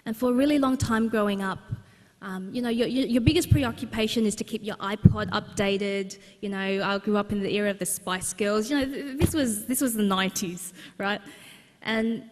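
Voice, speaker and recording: A woman's voice: medium pitch, normal speed. A young woman, an author, telling a personal story at a book launch in an earnest, conversational way with many restarts. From Australia. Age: young adult. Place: large exhibition centre hall. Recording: long hall reverb.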